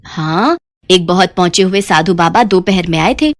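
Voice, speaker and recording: A crafty older village aunt , as a female narrator plays her. She sounds fed up yet sly and confiding, pitched a little under ordinary female talk, in a cartoon moral tale. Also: animated, moderate pace, no room sound, studio quality, natural voice.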